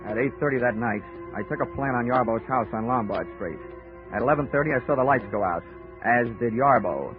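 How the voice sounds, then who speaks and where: An actor playing a cocky, wisecracking insurance investigator who narrates wryly in a 1940s radio drama. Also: male voice, medium pitch, brisk, close to an everyday voice. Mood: narrating. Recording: tinny old 1940s radio recording with music.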